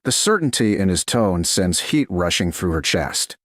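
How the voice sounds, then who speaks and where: A man with a low, husky voice narrates a romance audiobook in short, suspenseful phrases. His pitch rises and falls dramatically, and the recording is close and dry. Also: big pitch swings, normal speed, a bit bright, dry recording.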